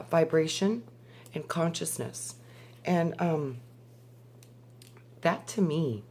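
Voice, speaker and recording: A woman, hoarse from a cold, giving a slow, measured spiritual talk with many pauses. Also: sits low, a little mellow. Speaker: spiritual teacher.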